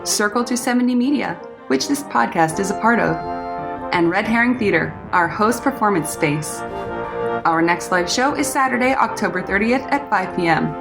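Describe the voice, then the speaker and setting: A woman reads a scripted show introduction as announcer. Her voice is mid-pitched, with music clearly playing behind her. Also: animated, moderate pace.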